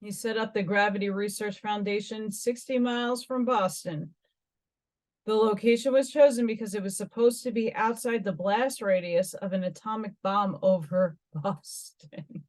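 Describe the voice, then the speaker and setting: A woman's voice: mid-range voice, moderate pace, a little mellow. An American woman from New York hosting a vlog, reading articles aloud and breaking off into musing, dramatic asides. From New York, United States. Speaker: host of a metaphysical vlog. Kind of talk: reading articles aloud with rambling personal commentary.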